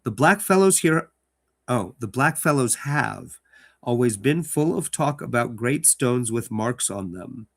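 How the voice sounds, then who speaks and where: A man's voice: medium pitch, lively intonation, measured pace, creaky, fairly bright tone, exaggerated voice. A man voicing an earnest male prospector reading out a formal letter.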